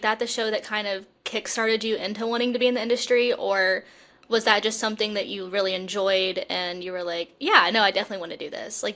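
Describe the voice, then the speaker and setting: A woman's voice: mid-range voice, fairly bright tone. A young woman co-hosting a podcast, talking in a casual, conversational style. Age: young adult. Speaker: podcast co-host. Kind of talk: casual chat.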